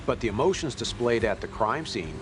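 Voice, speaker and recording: A man's voice: mid-range voice, animated, brisk, noisy background. A man speaking as the host and investigator of a documentary, narrating and asking questions.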